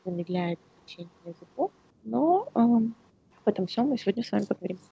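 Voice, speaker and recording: A woman from Ukraine co-hosting a casual podcast, speaking in a halting, searching way. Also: a little high, big pitch swings, vocal fry, muffled recording, heavy background noise. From Kyiv, Ukraine. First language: Russian. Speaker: podcast co-host.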